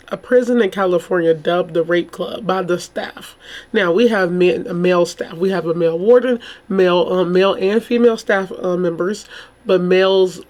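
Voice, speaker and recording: A woman with a slightly hoarse voice, chatting casually and informally to camera as a host, rambling and exclaiming as she comments. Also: on the low side, a little mellow. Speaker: YouTube true crime host.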